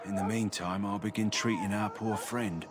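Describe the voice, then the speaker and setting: A man playing a gruff, practical hound keeper in a fantasy game, plain-spoken and matter-of-fact. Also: lowish voice, fairly even intonation, normal speed, lightly acted. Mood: matter-of-fact, concerned.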